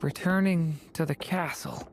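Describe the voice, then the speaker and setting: A woman playing a gentle, wistful young adventurer who talks reflectively in a video game. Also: low-pitched, measured pace, a little hiss or hum.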